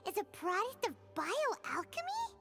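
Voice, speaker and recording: A woman's voice: very high-pitched, huge pitch swings, a little slow, music in the background, heavily acted. A woman voicing a tiny, childlike floating fairy companion in a video game, inquisitive, blunt and full of exclamations. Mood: puzzled, curious. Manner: inquisitive, exclaiming, speaks of self in third person. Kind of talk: video game character dialogue.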